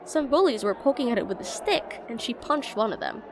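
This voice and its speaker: A woman as a high, lively, flustered young witch student. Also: brisk, poor audio. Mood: warm, chatty. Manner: flustered, hesitant, chatty and self-deprecating. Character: shy, rambling young witch student in potions class. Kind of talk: slice-of-life fantasy audio roleplay. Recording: voice over busy classroom crowd ambience.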